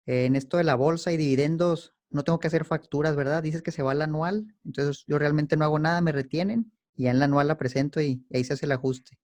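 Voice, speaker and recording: Man, Mexican Spanish accent, host, curious, recaps answers, somewhat high voice. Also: steady tone, fast, hardly any pauses, a little mellow. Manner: curious, sums up answers back. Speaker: host interviewer. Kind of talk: interview questions.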